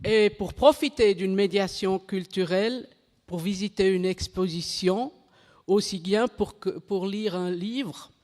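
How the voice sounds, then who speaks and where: A woman gives a measured, unhurried conference talk in French, her second language. She stumbles now and then, restarts and corrects her words. Her voice carries a long reverb from the hall around her. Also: medium pitch, darkish tone. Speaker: conference speaker.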